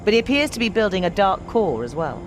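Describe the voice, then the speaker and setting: A woman's voice: mid-range voice, very animated, fast talker, over music, close to an everyday voice. A woman playing a serious, resolute resistance leader, giving earnest, authoritative mission briefings in a fantasy game. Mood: businesslike.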